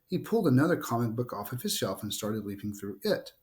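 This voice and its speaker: Guy doing a read-aloud of a kids novel, medium pitch, expressive, trips on words sometimes. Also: brisk. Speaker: book reader.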